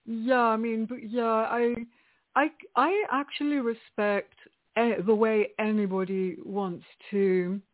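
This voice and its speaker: A woman from Greece, a guest poet in a relaxed interview, who speaks thoughtfully with many pauses and laughs often. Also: a little high. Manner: thoughtful, hesitant, laughs often between phrases. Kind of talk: conversational interview. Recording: tinny phone line.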